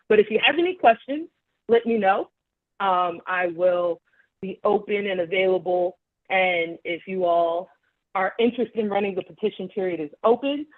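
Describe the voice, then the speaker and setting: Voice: medium pitch, no echo. A neighborhood commissioner giving an informal announcement at a public meeting, through muffled, band-limited audio.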